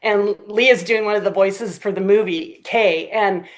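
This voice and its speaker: A woman with a medium-pitched voice talks casually as a guest on a podcast. She speaks informally, like a producer explaining how she works. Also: unhurried. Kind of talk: casual chat.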